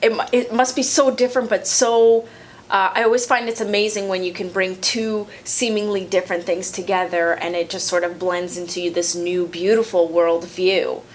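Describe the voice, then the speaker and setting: Une animatrice enthousiaste et encourageante, à la voix de hauteur moyenne, mène un entretien décontracté en hésitant souvent. On entend un léger bruit de fond. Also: female voice, medium pitch, steady tone, moderate pace, slight background noise. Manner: enthusiastic, encouraging, with many fillers. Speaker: host, physiotherapist. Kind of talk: casual interview hosting.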